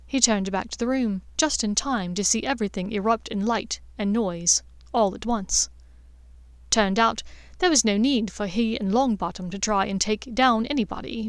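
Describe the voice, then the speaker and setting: A woman's voice: medium pitch, no echo, clean studio sound. A woman reading a scripted story as an audiobook narrator. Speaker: audiobook narrator of fan fiction.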